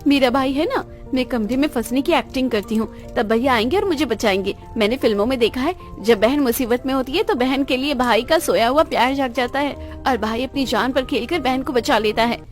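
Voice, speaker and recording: A woman's voice: sits high, fairly quick, few pauses, faint music behind, close to an everyday voice. A woman voicing a spoiled, envious young sister in a cartoon, whiny and dramatic. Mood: envious, scheming. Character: spoiled, envious young sister craving her brother's affection.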